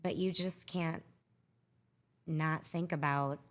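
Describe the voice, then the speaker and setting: A middle-aged woman, a guest on a podcast recorded in a wine bar, talking casually in a loud, distinctive voice. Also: low voice, steady tone, slow. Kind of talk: casual conversation.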